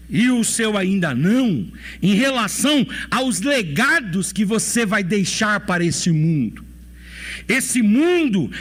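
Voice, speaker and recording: A Brazilian man in his forties preaches in a church, asking the congregation question after question. His voice sits high for a man and swings widely in pitch. He repeats his key phrases again and again. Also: a bit bright. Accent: Brazilian Portuguese. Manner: preaching with rhetorical questions and repetition. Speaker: pastor. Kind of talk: sermon.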